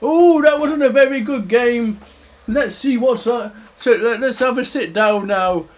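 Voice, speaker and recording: A man's voice: very high voice, measured pace, voice pushed up. A man voicing a fussy, scolding, fatherly big bear who exclaims and admonishes in a homemade children's story. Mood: pained, cross.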